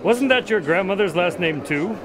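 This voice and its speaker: A man's voice: sits high, animated, normal speed, crowd noise. A man playing a skeptical doctor and detective's sidekick in an audio drama, questioning and mildly exasperated.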